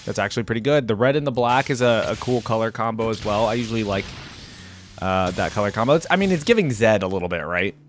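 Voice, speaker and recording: A man's voice: lowish voice, animated, quick pace, faint music behind. A man hosting a reaction video, talking informally and thinking aloud in unscripted commentary. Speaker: YouTube reaction host.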